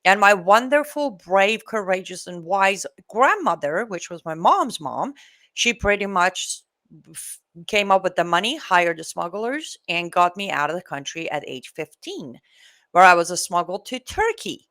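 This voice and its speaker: A woman in her forties from Iran, a guest telling her life story in an outspoken, animated way. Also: mid-range voice, unhurried. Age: 40s. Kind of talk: casual interview talk.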